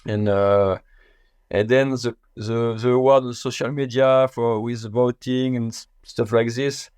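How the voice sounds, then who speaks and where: A man with a French accent, a native French speaker, talking as a podcast guest in a casual interview, halting and searching for his words in English. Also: mid-range voice, steady tone, darkish tone, clear, resonant tone, dry recording. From France.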